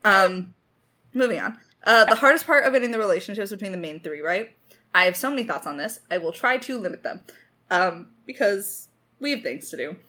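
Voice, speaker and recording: A woman's voice: medium pitch, animated, brisk, bright, forceful tone. A woman talking casually in a creator Q&A, thinking aloud as a writer in a self-deprecating, hesitant way. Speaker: lead writer of an audio drama podcast.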